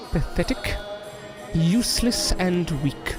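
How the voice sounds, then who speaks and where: A man playing a bitter, animated washed-up stage showman. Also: sits high, full character voice. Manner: theatrical, bitter. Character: bitter washed-up male stage entertainer, foul-mouthed and menacing. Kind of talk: audio drama acting.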